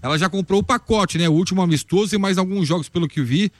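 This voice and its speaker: A man's voice: high voice, fast, no room sound. A man hosting from the radio studio, talking in a conversational way and asking questions of a reporter in the field. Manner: conversational, asks many questions. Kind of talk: live radio cross-talk.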